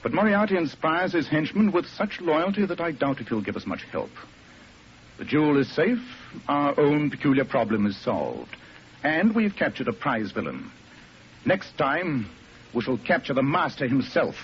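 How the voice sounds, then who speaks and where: An actor playing a brisk, commanding English detective, precise and imperious, in 1940s radio drama style. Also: male voice, fairly high pitch, very animated. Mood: confident. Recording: dull, noisy 1940s radio recording.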